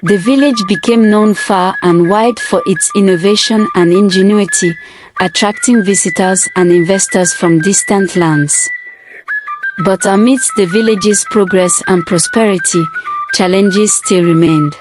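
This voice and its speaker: A woman's voice: mid-range voice, measured pace. Woman narrating a folktale over music. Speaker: storyteller, narrator. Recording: music playing behind the voice. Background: background music.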